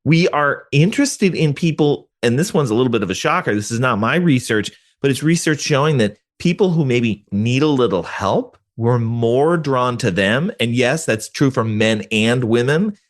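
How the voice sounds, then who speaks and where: A man speaking as a guest expert in an interview, explaining research in an animated, colloquial way and acting out imagined quotes. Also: medium pitch, moderate pace, hardly any pauses. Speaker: guest expert, psychology professor.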